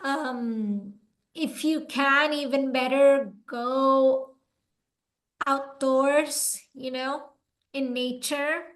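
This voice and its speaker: Calm encouraging woman on a podcast, high voice, talks real slow. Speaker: podcast co-host. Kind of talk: casual podcast chat.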